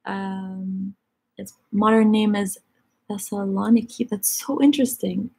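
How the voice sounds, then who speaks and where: A woman's voice: mid-range voice, steady tone, measured pace, gravelly, dark tone, breathy edge. A woman whose first language is Egyptian Arabic, chatting casually on a live stream and reading out viewers' questions. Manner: chatty, filler-heavy, reads questions aloud.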